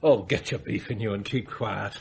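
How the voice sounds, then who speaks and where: An actor playing a blunt, domineering older English business magnate in a radio drama, curt and commanding. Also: male voice, on the low side, moderate pace, gravelly, a little mellow, slightly rough, full character voice. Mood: gruff, needling.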